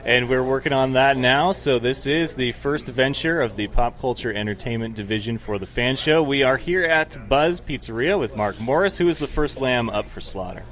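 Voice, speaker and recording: Male host, casual interviewer, mid-pitched, chatty, quick to laugh. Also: fairly bright tone. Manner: laughs often, casual, filler-heavy. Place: pizzeria comedy venue.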